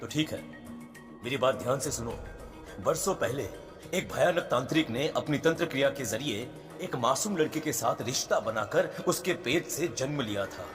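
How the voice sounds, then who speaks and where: An actor playing a solemn, commanding priest who instructs ominously in a horror cartoon. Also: male voice, mid-range voice, expressive, normal speed, natural voice. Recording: long reverb, faint music.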